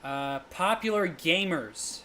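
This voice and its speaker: A man's voice: on the high side, lively intonation, takes it slowly, crowd noise. A man as a guest on a game livestream, with a nasal voice, self-mocking and laughing as he chats. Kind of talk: casual chat over a game stream.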